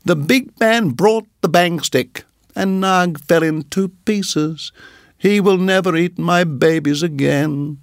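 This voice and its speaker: A man voicing a feather-brained little male tailorbird who chirps in a high, excitable sing-song. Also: slow pace, no echo, clean studio sound. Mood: excited praising.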